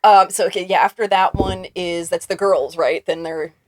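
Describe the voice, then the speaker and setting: A woman's voice: on the low side, fairly even intonation, moderate pace, darkish tone, no room sound. A woman podcast host talking casually and effusively about film with friends, swearing freely.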